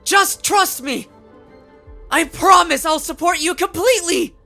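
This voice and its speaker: A woman voicing an earnest, excitable young male police detective in an anime dub, naive and eager, with exclamatory delivery. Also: extremely high pitch, measured pace, gravelly, faint music behind, full character voice. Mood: urgent, pleading.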